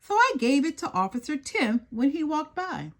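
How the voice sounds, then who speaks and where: A woman's voice: high voice, very animated, normal speed, pitched above the performer's own voice. A woman voicing an eager, breezy, chatty young girl in a children's storybook.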